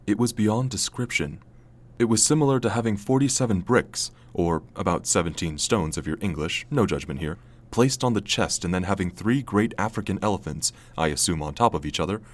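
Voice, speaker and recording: An actor playing a droll, tongue-in-cheek storyteller, narrating a comic audio play in a dramatic style. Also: male voice, low voice, fairly quick. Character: droll, mock-epic male storyteller.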